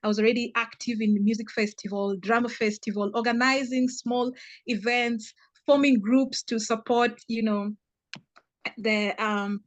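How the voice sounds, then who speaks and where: Young Kenyan woman, interview guest, high-ish voice, very dry sound, rambling fillers. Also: steady tone, normal speed, no room sound. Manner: hesitant, many fillers and restarts, storytelling. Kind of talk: casual interview answers.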